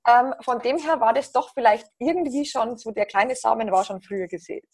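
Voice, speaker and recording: A woman in her thirties with a Bavarian accent, chatting as a podcast guest in a relaxed, upbeat way. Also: fairly high pitch, brisk, husky. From Bavaria, near Passau. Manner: chatty, many fillers, upbeat. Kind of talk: casual interview chat.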